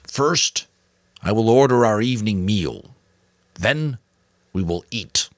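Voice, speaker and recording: A man's voice: fairly low pitch, animated, dry recording, voice pushed up. A man voicing a grave, weary old mentor, firm but kind, in a dramatic audiobook. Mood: weary, resolved. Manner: firm, solemn, deliberate. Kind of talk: dramatic audiobook character reading.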